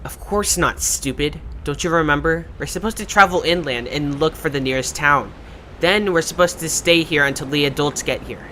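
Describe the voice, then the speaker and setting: A haughty young would-be leader played by a man in an audio drama, with a bright, forceful edge. He is impatient and scornful, and some noise sits behind the voice. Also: fairly high pitch, normal speed. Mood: condescending. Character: bossy, scornful young creature, self-appointed leader. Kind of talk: amateur audio drama acting.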